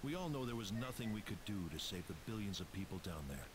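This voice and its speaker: A man's voice: on the low side, sing-song, quick pace. A man playing a composed, resolute military officer in a video game. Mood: stern, resigned. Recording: clear hiss over game audio.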